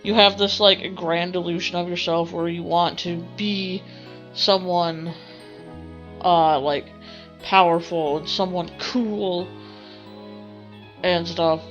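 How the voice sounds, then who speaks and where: A woman's voice: fairly low pitch, fairly even intonation, slow pace, pauses often, highs cut off. A woman streaming a game, reading a visual novel aloud with informal, rambling asides. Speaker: gaming streamer. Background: game music.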